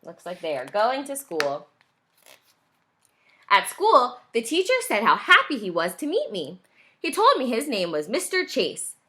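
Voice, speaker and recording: A woman's voice: a little high, wildly swooping pitch, unhurried, pushed and bright. A woman teacher reading a picture book aloud to young children, explaining and asking questions along the way.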